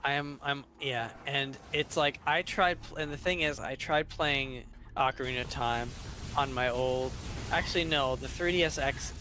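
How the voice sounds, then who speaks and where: A man in his twenties co-hosting a game session, telling stories in a halting, meandering way. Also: mid-range voice, pushed and bright, slight background noise. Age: 20s. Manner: halting, meandering, restarts often. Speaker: co-host. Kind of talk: casual chat.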